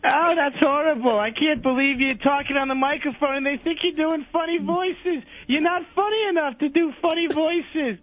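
A man's voice: normal speed, few pauses, a bit bright, no echo. A man voicing a sweet, doddering old man who calls in to a radio show, rambling fussily. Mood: doting. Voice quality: high, quavering old-man voice. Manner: fussy, rambling, says odd things. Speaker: caller. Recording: dry, dull radio broadcast.

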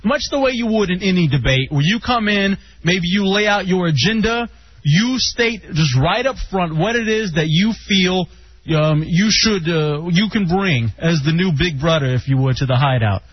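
A man's voice: high-pitched, animated, moderate pace, husky, no room sound. A man hosting a comedic radio talk show, teasing and moderating his guests. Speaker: host. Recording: dull lo-fi radio sound.

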